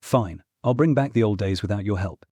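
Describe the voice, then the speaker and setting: Scheming ex-god uncle, acted by a man: imperious, persuasive, dark-toned and husky, hungry for old glory, fantasy audiobook. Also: fairly low pitch, brisk, no room sound, clean studio sound. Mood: insistent. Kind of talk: full-cast fantasy audiobook acting.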